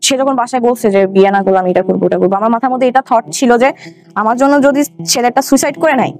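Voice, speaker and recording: A woman's voice: fairly high pitch, quick pace, a little mellow. A young adult woman with a Bangladeshi accent, a guest recounting her own life in a conversational way. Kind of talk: conversational personal storytelling.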